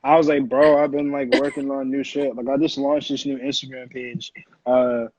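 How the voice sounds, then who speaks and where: A man's voice: medium pitch, little pitch movement, moderate pace, a little mellow. An American man from Connecticut, a music producer chatting casually as an interview guest in informal slang peppered with "like". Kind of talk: casual chat. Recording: dull, lo-fi audio.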